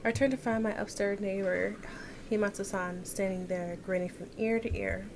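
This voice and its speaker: A woman's voice: medium pitch, steady tone, moderate pace. Woman reading aloud over noticeable noise. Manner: stumbles over words and corrects herself. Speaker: game streamer reading a visual novel aloud. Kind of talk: expressive read-aloud with casual asides. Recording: noticeable background noise, game ambience behind.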